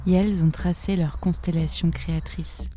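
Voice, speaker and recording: A woman reciting scripted lines in a measured, declarative way. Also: lowish voice, fairly even intonation, dark tone, a little hiss or hum. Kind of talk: scripted recitation for a feminist fiction podcast.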